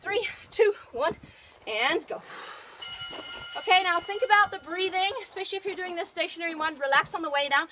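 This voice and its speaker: A woman with a very high, loud voice coaches a workout outdoors, upbeat and encouraging as she counts down each set. She is recorded on a phone outside. Clear background noise runs under her voice. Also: fairly quick, poor audio. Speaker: fitness trainer. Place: outdoors in the sun.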